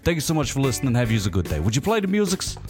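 A man hosting a podcast, chatting loosely and jokingly, tripping over his words with fillers and restarts. His pitch sits in an ordinary middle range for a man. Faint music plays under his voice. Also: very animated, racing. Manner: loose, rambling, jokey with frequent fillers. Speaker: podcast host. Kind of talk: casual chat.

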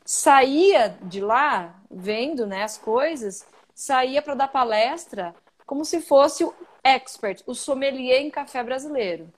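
An outspoken Brazilian woman, a coffee journalist, tells stories with animated energy. Her voice sits slightly high, and she comes through a live video call. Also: takes it slowly, hardly any pauses. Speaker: guest, coffee journalist and podcaster. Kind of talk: casual interview chat.

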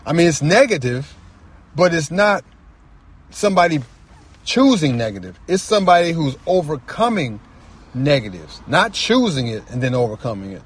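A man talking casually and reflectively as a guest storyteller, earnest and halting, often repeating phrases for emphasis. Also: on the high side, very animated, husky. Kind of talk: casual, reflective personal talk.

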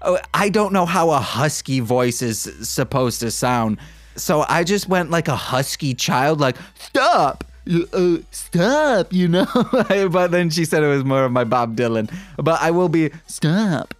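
A man's voice: a little high, expressive, normal speed, dry recording. A man hosting a comedic podcast, reading fan fiction in a mock-sultry way and breaking off into self-mocking asides. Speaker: podcast host.

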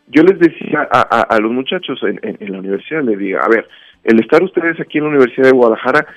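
Didactic Mexican man, dull lo-fi sound. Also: mid-range voice. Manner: didactic, emphatic, uses rhetorical questions. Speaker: guest commentator on a radio segment. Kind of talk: conversational motivational talk with anecdotes.

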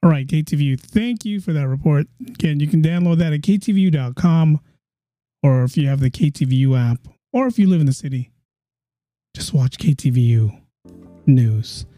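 A man's voice: a little high, animated, normal speed, mellow, no room sound, clean studio sound. A man hosting his own podcast, chatting casually and repeating phrases for emphasis. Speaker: podcast host.

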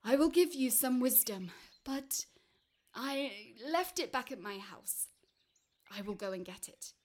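A woman voicing a tiny, timid but crafty male farmer in a storybook tale, with a high trembling voice and hesitant, stammering pleas. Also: expressive, unhurried. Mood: nervous, pleading.

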